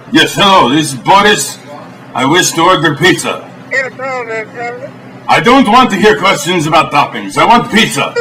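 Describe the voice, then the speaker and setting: A man playing deadpan broken-English how-to host. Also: fairly high pitch, lightly acted. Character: blunt deadpan foreign man giving brutal how-to lessons. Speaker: comedy sketch character host. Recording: roomy echo, distant mic, ambient noise.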